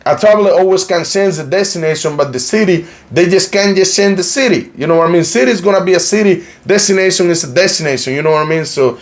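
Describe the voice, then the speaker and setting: Colombian Spanish-accented man, fairly high voice. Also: fast, hardly any pauses. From Cartagena, Colombia. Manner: rambling, heavy use of "you know, like", frequent restarts. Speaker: YouTube news channel host. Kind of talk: casual commentary vlog.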